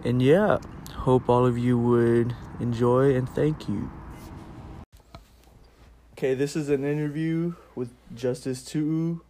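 A man's voice: medium pitch, fairly even intonation, slow, long pauses, warm tone. A young man hosting a casual amateur podcast and interviewing a guest. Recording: faint ambient background noise.

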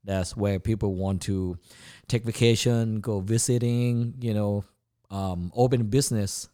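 A man's voice: lowish voice, steady tone, measured pace, dark tone. A man of about fifty from Thailand, with a Thai accent and non-native English grammar, chatting casually about himself as a guest. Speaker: podcast guest, chef. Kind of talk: casual autobiographical chat.

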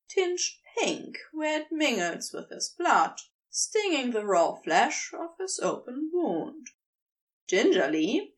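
A woman narrates fiction like an audiobook reader. Her voice sits fairly high and moves with lively, wide intonation. She takes her time, very slow and deliberate through each phrase. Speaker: podfic narrator reading fan fiction. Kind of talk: scripted narration, audiobook-style storytelling.

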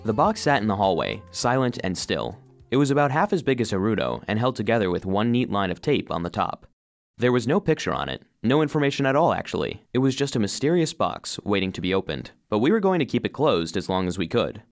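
Picture an earnest robot vacuum telling a children's story. The voice sits fairly low but swings expressively through each line, recorded close and dry. The delivery is scripted, loyal and a little anxious. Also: male voice, big pitch swings, a little fast, no room sound, soft background music. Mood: earnest, storytelling. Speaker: narrator. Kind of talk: scripted first-person children's story narration.

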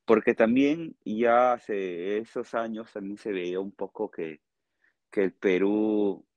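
A man with a Peruvian accent answering interview questions conversationally as a guest, explaining in a halting way and often checking with a short tag question. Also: on the low side, darkish tone, dry recording, dull audio. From Peru. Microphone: remote call.

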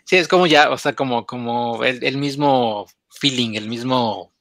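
A man co-hosting a podcast, rambling casually and dropping English words in. His voice is bright and sharp, recorded close and dry. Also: medium pitch, unhurried, no echo. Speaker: podcast co-host. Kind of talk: casual chat.

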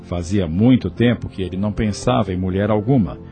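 A man's voice: lowish voice, a little fast, warm tone. A man with a Brazilian accent, reading scripted narration for a radio drama. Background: music.

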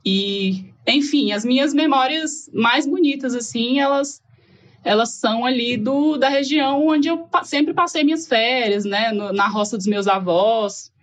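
Woman with a Goiás accent, somewhat high voice, relaxed storytelling, lo-fi sound. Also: a little slow. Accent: Goiás Brazilian Portuguese. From Goiás, Brazil. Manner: reflective, storytelling, frequent fillers. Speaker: guest. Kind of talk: casual conversation. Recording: dull lo-fi audio.

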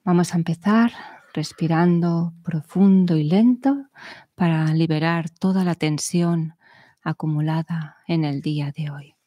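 A woman guiding a meditation in a soothing, measured manner, speaking in short phrases with long pauses. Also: deep voice, fairly even intonation, mellow, dry recording. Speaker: meditation guide. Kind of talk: guided meditation narration.

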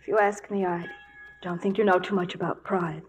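A woman's voice: on the low side, fairly even intonation, brisk, close to an everyday voice. Actress as composed, refined young Eastern lady. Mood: wistful, proud. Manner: polite, composed, well-spoken. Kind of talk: 1940s radio acting.

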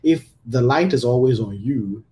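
A man hosts a relaxed podcast conversation with an expressive voice that rises and falls a lot. He speaks emphatically and keeps checking in with his listener. His delivery stays loose and conversational. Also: medium pitch, moderate pace, a little hiss or hum. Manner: animated, emphatic, often asks "right?". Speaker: podcast host. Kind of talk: casual conversational podcast chat.

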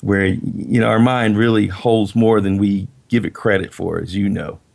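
A middle-aged man, a guest in an interview, talking in a reflective, halting way with many restarts. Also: on the low side, steady tone, gravelly, a little mellow. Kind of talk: casual interview chat.

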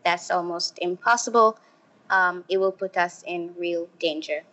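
A young woman from Somalia, a refugee youth program organizer, answering interview questions slowly with many fillers. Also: fairly low pitch, a bit bright, slight background noise. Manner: slow, halting, many fillers. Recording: muffled lo-fi audio.

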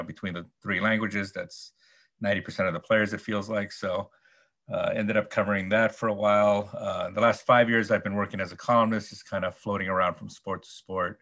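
A man, a sports columnist guest, answering interview questions casually with many pauses, fillers and restarts. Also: on the low side, sharp tone.

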